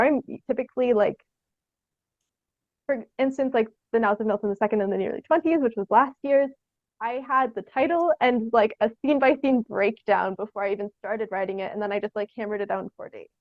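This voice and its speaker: A dry, slightly muffled recording of a young woman with a fairly high voice. She talks casually as an interviewed theatre artist, piecing her thoughts together as she goes and peppering them with "like". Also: no room sound. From Sault Ste. Marie, Canada. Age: young adult. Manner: halting, thinking aloud, frequent "like".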